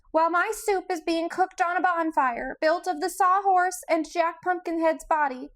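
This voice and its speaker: A woman voicing a pompous, highly educated male insect who talks in a dramatic, fussy way. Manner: pompous, self-important.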